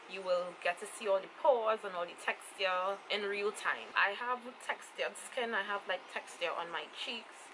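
A young woman in her twenties with a Trinidadian accent, giving a casual makeup review to camera. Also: mid-range voice. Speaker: beauty vlogger. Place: small room indoors. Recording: clearly noisy home camera recording. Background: clear ambient noise.

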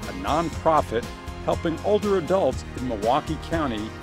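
A man's voice: fairly high pitch, lively intonation, normal speed. Man narrating a documentary over music. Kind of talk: scripted narration.

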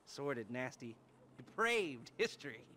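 Dry heist planner, sharp higher voice on speakerphone, theatrical, noisy ambience. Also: male voice, very animated, measured pace. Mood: relishing. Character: dry, sardonic criminal planner on a phone. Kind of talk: cinematic game acting. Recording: speakerphone voice, outdoor ambience noise.

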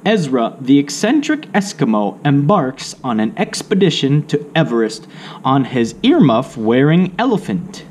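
Guy reading an alphabet kids book out loud, really slow, pitch moves around a lot, bit of noise behind him. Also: sing-song. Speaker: children's book read-aloud narrator. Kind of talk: slow read-aloud of an alphabet picture book. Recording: faint background noise.

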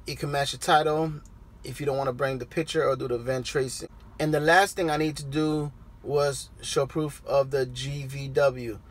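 A man in his thirties, a trucker vlogger, casually explaining a process to his viewers from the cab of his parked truck. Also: mid-range voice. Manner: relaxed, conversational, addresses viewers directly. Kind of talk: casual how-to vlog.

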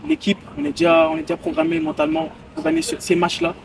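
A man's voice: extremely high pitch, sing-song, quick pace, gravelly, distant mic, background noise. A man in his twenties, a footballer giving casual interview answers outdoors and repeating phrases for emphasis. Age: 20s. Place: outdoors by a hotel pool.

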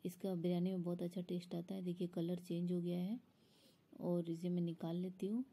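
A woman's voice: lowish voice, flat delivery, fairly quick, warm tone, slightly rough. A woman explaining a recipe step by step in an instructional voice-over, as a home cook. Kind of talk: step-by-step cooking tutorial voice-over.

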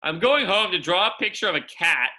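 A young man voicing a proud, quick little schoolgirl artist in a storybook, with emphatic delivery. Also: high-pitched, animated, sharp tone, pitched above the performer's own voice, exaggerated voice, rough recording. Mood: indignant.

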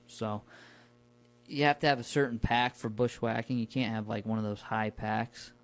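A man talking casually and colloquially, telling stories in a relaxed conversational podcast style. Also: fairly low pitch, normal speed, a little hiss or hum. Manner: informal, colloquial. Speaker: podcast guest recounting his camping trips.

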